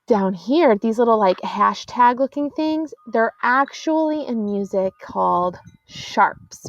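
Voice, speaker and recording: A choir teacher, patiently explaining sheet music to middle school singers and counting beats aloud. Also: female voice, fairly high pitch, expressive, takes it slowly, no room sound. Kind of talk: classroom music lesson. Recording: dull, dry lo-fi audio.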